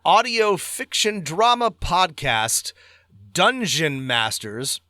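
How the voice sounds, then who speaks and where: A man's voice: high-pitched, very animated, slow, sharp tone, no room sound, studio quality. A man co-hosting a podcast in casual review chat, speaking emphatically and repeating words for stress. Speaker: podcast co-host.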